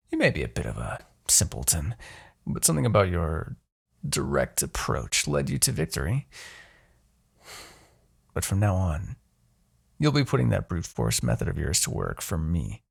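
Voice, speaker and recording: A low, gravelly demon king played by a man for a fantasy ASMR roleplay. He is a smug, seductive tyrant who taunts and manipulates. His delivery swings widely and theatrically, drawing out words with condescension. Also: huge pitch swings, moderate pace, long pauses, heavily acted. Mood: menacing, seductive.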